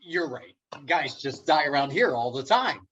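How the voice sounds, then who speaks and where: A woman's voice: low voice, big pitch swings, moderate pace, lo-fi sound. A woman playing an exasperated, argumentative young man, indignant and sarcastic, in a comic script reading. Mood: alarmed, sceptical. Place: remote video call.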